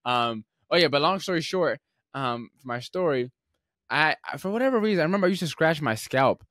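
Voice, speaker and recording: A young man hosting a podcast, telling stories in a relaxed, rambling way with lots of filler words. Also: on the high side, a little fast, no echo, studio quality. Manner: relaxed, rambling storyteller with many fillers and restarts. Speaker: podcast host. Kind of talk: casual podcast conversation.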